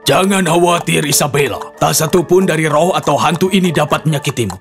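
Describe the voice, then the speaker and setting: A man's voice: a little high, fairly quick, creaky, music in the background. A man voicing a tall, strong, kindly sorcerer in a fairy tale, commanding and protective. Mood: reassuring.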